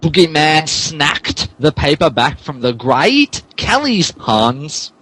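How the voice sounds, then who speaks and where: A man's voice: a little high, big pitch swings, slow pace, fairly bright tone, breathy edge, poor audio. A man narrating a comic dramatic reading of fan fiction in a hammy way, stumbling over words. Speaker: narrator, podcast reader.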